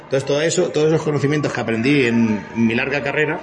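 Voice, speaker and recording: A man's voice: mid-range voice, fast talker. Spanish man in his fifties over music. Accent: Spanish from Spain. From Spain. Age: 50s. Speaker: interview guest, company CEO. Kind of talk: conversational explanation in an interview.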